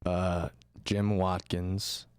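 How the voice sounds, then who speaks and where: A male staff member answering the host slowly and hesitantly. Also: low-pitched, flat delivery, clear, resonant tone, no room sound, studio quality. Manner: slow and hesitant.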